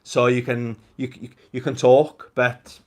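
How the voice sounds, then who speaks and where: A man's voice: medium pitch, little pitch movement, a little slow. A man with a Welsh accent hosting a casual gaming live stream, chatting in a rambling, jokey way to his viewers. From Wales.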